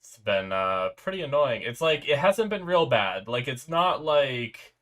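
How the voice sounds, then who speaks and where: A man's voice: mid-range voice, big pitch swings, unhurried, a bit bright. A man hosting an anime reaction video while sick, congested and with a sore throat, talking casually and rambling with frequent restarts. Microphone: desk mic with noise filter.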